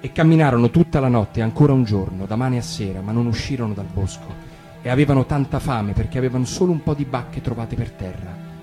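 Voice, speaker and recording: Man reading a fairy tale calmly. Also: medium pitch. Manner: calm, measured storytelling. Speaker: reader. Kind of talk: fairy tale read aloud on radio. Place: radio studio. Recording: guitar music underneath.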